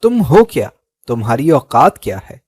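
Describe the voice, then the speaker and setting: A man's voice: medium pitch, big pitch swings, normal speed, slightly rough, no echo. A man reading the lines of an arrogant, hot-tempered uncle who speaks with scorn. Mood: scornful. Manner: scornful, shouting down.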